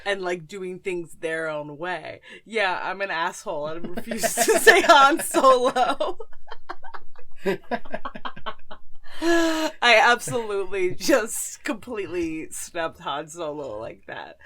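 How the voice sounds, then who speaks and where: A woman's voice: mid-range voice, lively intonation, slow, sharp tone. A young woman co-hosting a podcast in casual conversation, speaking emphatically and opinionatedly with casual swearing. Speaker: podcast co-host.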